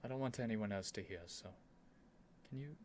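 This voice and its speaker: A man's voice: medium pitch, normal speed, darkish tone, a little hiss or hum, natural voice. A man playing a stubborn, flustered young prince with haughty, theatrical phrasing in an ASMR roleplay. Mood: shy, flustered.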